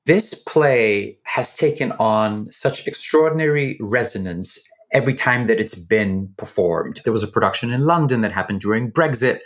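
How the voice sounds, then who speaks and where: A man's voice: fairly low pitch, fairly bright tone. A man speaking reflectively in an interview as a theater director.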